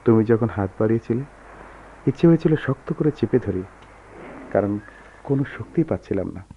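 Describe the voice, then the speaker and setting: An actor playing a brooding, bitter, lovelorn man in a Bengali radio drama, speaking in a measured, melancholy, literary way. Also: lowish voice, lively intonation. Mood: reflective. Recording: dull lo-fi radio recording with noise.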